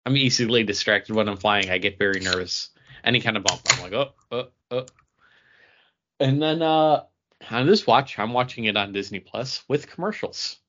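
A man's voice: fairly low pitch, normal speed, pushed and bright. A man co-hosting a podcast, chatting casually and playing out his own reactions as he goes. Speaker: podcast co-host. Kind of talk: casual chat with personal anecdotes. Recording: dull, lo-fi podcast sound.

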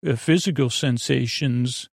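Male podcast host, heavy vocal fry, mid-pitched, hesitant, digressive, soothing bedtime rambling. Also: unhurried, dry recording, studio quality.

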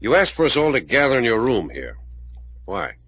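An actor playing a steady, blunt investigator in 1940s radio drama, laconic and plain-spoken, with an American accent. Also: male voice, mid-range voice, normal speed, breathy edge, dry recording, full character voice. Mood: cool, probing. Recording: dull old radio recording.

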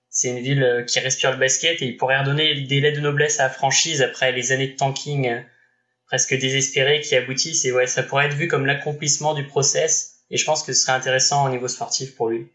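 A man on a sports chat panel, laying out a steady, reasoned case. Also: medium pitch. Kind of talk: casual basketball chat. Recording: roomy echo.

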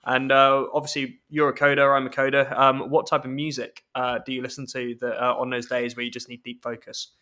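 A young man in his twenties hosts a relaxed podcast chat. His voice is bright and forward, yet his pitch stays fairly level. He sounds friendly and easygoing. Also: fairly quick, few pauses. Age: 20s. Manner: friendly, chatty, laughs easily. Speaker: podcast host. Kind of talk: casual podcast conversation.

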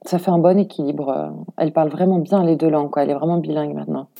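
A woman's voice: low-pitched, fairly even intonation, racing, creaky, narrow, tinny audio. A woman in her thirties from France with a French accent, talking informally and reflectively in an interview, thinking aloud as she goes. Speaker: interview guest, expatriate mother; preschool worker. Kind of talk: casual conversational interview.

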